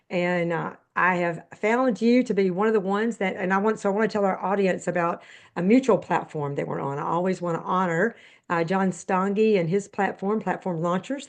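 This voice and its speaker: A woman's voice: medium pitch, a little mellow. A woman hosting a faith-based podcast interview, chatty and a little rambling. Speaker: podcast host.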